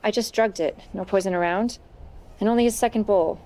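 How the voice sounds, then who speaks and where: An actress playing a cool, steely, composed sister in a period audio drama. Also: female voice, mid-range voice, brisk, darkish tone, natural voice. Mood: matter-of-fact. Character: cool, steely, protective sister in 1800s north.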